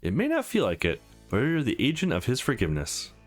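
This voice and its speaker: A man's voice: mid-range voice, wildly swooping pitch, a little fast, soft background music. A man voicing a flirtatious, conflicted married woman in a visual novel, frank, teasing and confessional. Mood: frank, probing.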